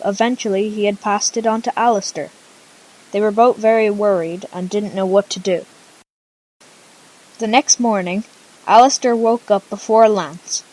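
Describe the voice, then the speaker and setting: A woman's voice: fairly high pitch, a little mellow, rough recording. A female storyteller narrating an amateur radio drama informally, with casual conversational asides.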